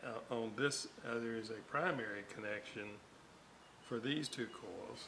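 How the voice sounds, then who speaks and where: Casting: unhurried man, lowish voice, bench-repair walkthrough, audible shop hum behind. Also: creaky. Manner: measured, thinks aloud, corrects himself. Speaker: radio restorer. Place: workbench.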